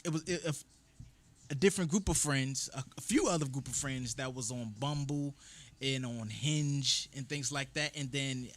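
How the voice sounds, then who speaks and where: A man's voice: mid-range voice, moderate pace, a bit bright. A man telling a dating story in casual podcast talk, often asking "you know what I'm saying". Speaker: podcast host.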